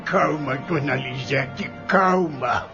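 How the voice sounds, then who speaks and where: Guy doing a humble tired old farm worker, slow n high voice, rustic talk, audio drama w music, muffled sound. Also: vocal fry, pushed and bright, full character voice. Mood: soothing, tired. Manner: slow, simple rustic speech with dropped grammar. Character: humble, weary old farmhand, calm and remorseful. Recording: dull lo-fi audio with music underneath.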